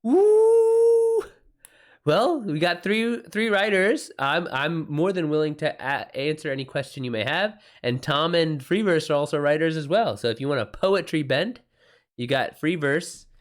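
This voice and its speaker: A man's voice: a little high, lively intonation, measured pace. A man with an American accent chatting informally on a livestream as host, using slang and reacting aloud to viewer comments. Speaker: livestream host and self-published author. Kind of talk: casual livestream chat, answering viewer comments.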